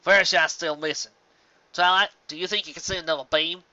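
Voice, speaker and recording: A folksy, practical farm mare from a cartoon fan story, acted out by a narrator. The voice is forceful and steady in temper, recorded close and dry. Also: mid-range voice, brisk, dry recording, highs cut off, voice pushed up, lightly acted. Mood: serious. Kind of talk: fan fiction character voice.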